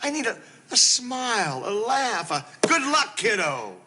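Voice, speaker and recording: An actor playing a self-pitying husband who swings from whining to shouting in a comedy scene. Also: male voice, very high-pitched, big pitch swings, unhurried, background noise, heavily acted. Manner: melodramatic, whining then shouting. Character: self-pitying, explosive middle-aged husband.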